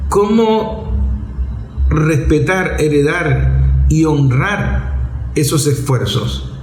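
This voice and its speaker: A man's voice: mid-range voice, a little mellow. A man giving reflective, didactic commentary in an emphatic, rhetorical way, with posed questions and repeated phrasing. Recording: echoey room.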